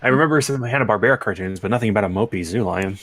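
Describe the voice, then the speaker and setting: A man's voice: lowish voice, rapid-fire, a bit bright. A man making casual, joking comments as a co-commentator on a story reading. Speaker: co-commentator on a fan fiction reading. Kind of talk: casual chat.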